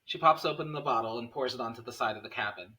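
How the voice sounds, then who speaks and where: Guy reading script directions, bright middle-pitched voice, bit far from the mic. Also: fast. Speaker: stage-direction reader. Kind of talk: scripted screenplay narration at a table read.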